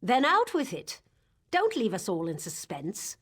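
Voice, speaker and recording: A woman voicing a calm, composed woman cult killer in a game who claims to see every possible fate. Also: medium pitch, measured pace, husky, full character voice.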